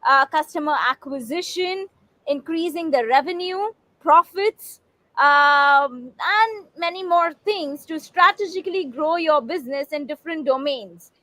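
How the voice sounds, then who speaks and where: A woman hosts an interview over a video call, asking her questions politely and formally with a few hesitant fillers. Her voice sits high, and the sound is dry and close. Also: measured pace, bright, forceful tone, no echo, low-quality recording. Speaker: host. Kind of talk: interview host.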